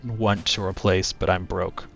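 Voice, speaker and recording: Monotone young man on a cheap headset. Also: unhurried, gravelly. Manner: low-key, talks to chat while playing. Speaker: streamer. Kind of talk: casual gaming stream chat. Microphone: cheap headset mic. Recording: dull headset mic with game music.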